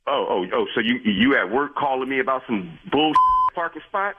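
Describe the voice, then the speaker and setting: A man in a spontaneous phone conversation, husky and defensive, stammering and repeating his words, heard over a phone line. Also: mid-range voice, expressive, normal speed. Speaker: church parking attendant on the phone. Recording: tinny narrowband phone line.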